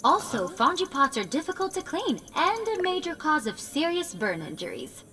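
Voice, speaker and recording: High-voiced, precise game AI. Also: female voice, very animated, moderate pace, background noise. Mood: dry, warning. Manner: precise, dry, formal. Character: analytical, matter-of-fact female artificial intelligence companion. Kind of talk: scripted video game exposition.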